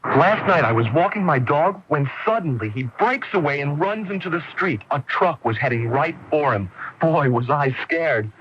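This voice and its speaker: A man's voice: on the high side, animated, normal speed, slightly rough, dull audio. An American man playing an earnest dog owner in a radio commercial testimonial, on a noisy old recording. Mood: relieved storytelling. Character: earnest everyman dog owner telling a close call.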